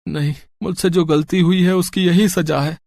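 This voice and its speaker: A man's voice: sits high, steady tone, moderate pace, vocal fry, darkish tone, dry recording. A man voicing a poor, humble, devout servant in a cartoon moral story, speaking hesitantly and deferentially. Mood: pained, sorrowful.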